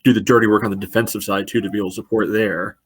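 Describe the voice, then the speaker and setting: Man, low-pitched, flat intonation, podcast panelist, casual sports analysis, loose filler-heavy phrasing. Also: very fast, breathy edge. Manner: loose phrasing with frequent "you know".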